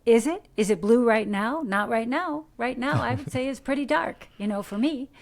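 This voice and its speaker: A middle-aged American woman from Detroit, an actor giving earnest, coaching advice in a podcast interview, animated with rhetorical questions. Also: on the high side, normal speed, gravelly. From Detroit, USA. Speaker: guest, actor. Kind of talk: podcast interview, motivational talk.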